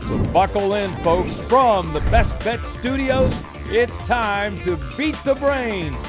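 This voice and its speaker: A man announcing a game show in a scripted, hyped, showman-like intro with drawn-out emphasis. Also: high-pitched, lively intonation. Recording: loud music under the voice. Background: loud theme music.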